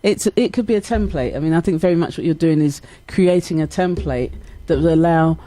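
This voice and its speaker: A woman's voice: low voice, fairly quick, mellow, no room sound. A woman hosting a conversational radio interview, speaking in an encouraging, affirming manner. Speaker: radio show host. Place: radio studio.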